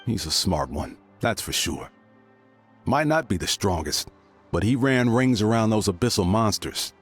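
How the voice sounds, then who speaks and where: A man voicing an earnest, concerned adult warrior of a tribe in a game, with a husky voice. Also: on the low side, lively intonation, measured pace, soft background music. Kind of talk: English game voice acting.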